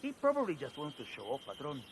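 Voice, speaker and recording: Unworried male worker, slightly high pitch, animated intonation, casual, game dialogue, background noise. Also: fast. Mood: easygoing. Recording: clear ambient noise.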